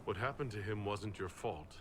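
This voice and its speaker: A man playing a calm, reasonable hero in a video game, measured and reassuring. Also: lowish voice, noisy background, lightly acted. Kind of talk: video game character dialogue.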